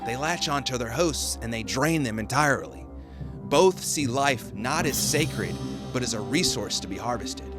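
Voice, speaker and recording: A man's voice: medium pitch, animated, normal speed. Man narrating a video essay over music. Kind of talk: scripted commentary with casual asides.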